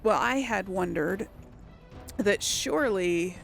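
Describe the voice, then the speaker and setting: A woman's voice: mid-range voice, animated, slow pace, creaky, soft background music. A woman giving casual let's play commentary, hesitantly thinking aloud as she plays. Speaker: gaming video host.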